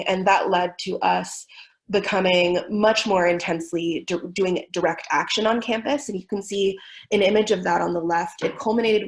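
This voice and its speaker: Young woman, low voice, creaky, earnest webinar presenter, thoughtful, many fillers. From Miami, United States. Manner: warm, thoughtful, with frequent fillers.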